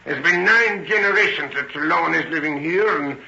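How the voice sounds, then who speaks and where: An actor in an old-time radio drama playing a frail, proud, embittered old farmer, halting and indignant, with a creaky voice. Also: male voice, on the high side, sharp tone, exaggerated voice. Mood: suspicious. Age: older. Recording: dull, echoey old radio recording, faint noise.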